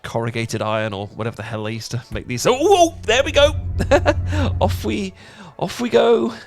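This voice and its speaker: A British man playing an awkward, flustered, dorky young man in a romantic audio roleplay, stammering, halting and apologetic as he rambles. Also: high voice, lively intonation, vocal fry, soft background music. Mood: nervous, polite, then flustered, excited. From Britain.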